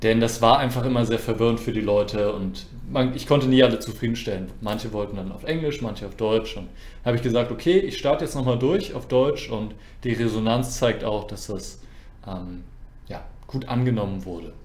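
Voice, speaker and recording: A man's voice: medium pitch, moderate pace, a little mellow. Guy hosting a chess stream, easygoing chat, kinda teasing, roomy echo on the voice. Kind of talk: casual livestream chat while playing chess. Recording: roomy, echoing sound.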